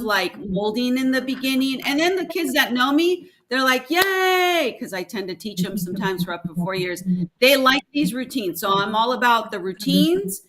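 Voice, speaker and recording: Bright-voiced teacher, Spanish native. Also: female voice, mid-range voice. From Mexico. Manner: assertive, storytelling, acts out classroom exchanges. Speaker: high school French teacher, guest. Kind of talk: casual conversational interview.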